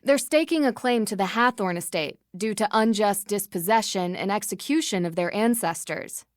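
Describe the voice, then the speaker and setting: A woman's voice: mid-range voice, normal speed, no room sound, clean studio sound, natural voice. An actress playing an earnest, determined young female detective in a video game, explaining things methodically. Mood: focused. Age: young adult. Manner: clear-headed, methodical, explanatory.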